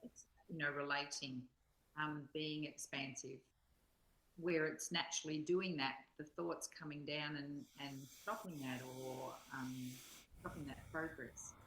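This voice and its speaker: Thoughtful lower-voiced woman in an echoey room. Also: steady tone, fairly bright tone. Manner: thoughtful, halting, searching for imagery. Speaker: study partner. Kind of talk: reflective spiritual discussion. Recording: roomy, echoing sound.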